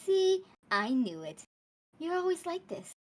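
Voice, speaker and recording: A woman's voice: extremely high pitch, very animated, measured pace, a little mellow, exaggerated voice. A woman playing a condescending, breezily dismissive tour guide in a script read-through. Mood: patronizing.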